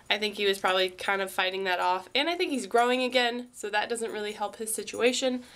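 A woman's voice: mid-range voice, slight background noise. A young woman with a bit of a cold, vlogging chattily to a handheld camera in her bedroom. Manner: chatty, animated, rambling. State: congested from a cold. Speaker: family vlogger. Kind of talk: casual vlog to camera. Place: bedroom at home. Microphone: handheld camera at arm's length.

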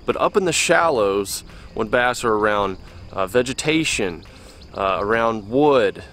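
A man's voice: fairly low pitch, a little slow, a little hiss or hum. Young man explaining by a lakeshore. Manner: relaxed, conversational, with fillers. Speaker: fishing video host. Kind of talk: casual instructional talk to camera. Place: outdoors by a lake.

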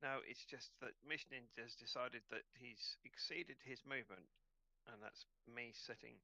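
A man's voice: medium pitch, moderate pace, gravelly, pushed and bright, no echo, low-quality recording. A man chatting casually as game master of an online tabletop game, hesitant and muttering to himself.

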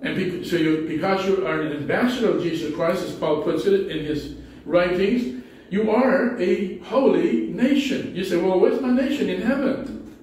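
A middle-aged pastor with a Spanish accent and a high voice, preaching in a loose, conversational way with many restarts. He is picked up from a distance in a strongly echoing small church hall, with faint background hiss. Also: normal speed, hardly any pauses, a little mellow. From Spanish-speaking country. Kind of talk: sermon. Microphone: pulpit mic, far camera.

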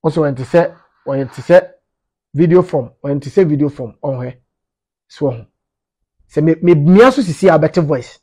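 A man's voice: on the high side, lively intonation, moderate pace, long pauses, mellow, slightly rough. A man in his thirties with a Ghanaian accent, giving informal commentary to camera and emphatically repeating his phrases. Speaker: media commentator. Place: small indoor office.

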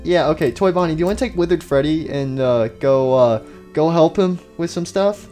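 A man's voice: on the high side, normal speed, warm tone, faint music behind, lightly acted. A man playing a mildly exasperated puppet leader in a casual, dry everyday voice.

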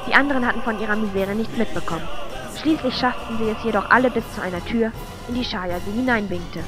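A woman's voice: on the high side, fast. A woman reading scripted fantasy narration as the storyteller of an audio drama. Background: clear music.

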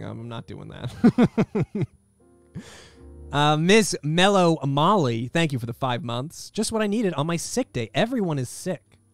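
A man in his thirties chatting casually and jokingly with live viewers as he streams a video game from a room at home. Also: fairly high pitch. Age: 30s. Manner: wry, joking. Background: faint guitar music.

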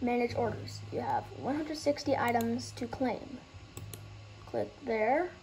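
A woman explaining a game trading method in a casual, halting way, losing her train of thought and starting over. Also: a little high, animated, darkish tone, soft background music, poor audio. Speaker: gaming tutorial host.

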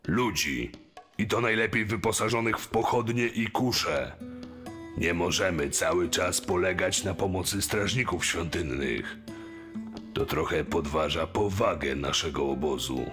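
A man playing an exasperated, mocking mine boss in an audio drama, complaining in a forceful manner. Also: low voice, unhurried, music in the background. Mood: defiant, exasperated.

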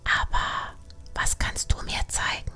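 A man's voice: unhurried, faint music behind. Man as a whispering, curious young girl. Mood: hushed wonder. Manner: hushed, breathy whispering.

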